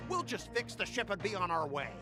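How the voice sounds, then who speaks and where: An actor playing an irate, stubborn cargo worker in a video game, indignant, blustering and emphatic. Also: male voice, sits high, very animated, brisk, over music. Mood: aggrieved. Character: irate, stubborn male cargo-company worker, loud complainer.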